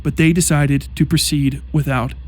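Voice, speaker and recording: A man giving scripted commentary narration, walking through a timeline in a measured, explaining manner. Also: on the high side, steady tone.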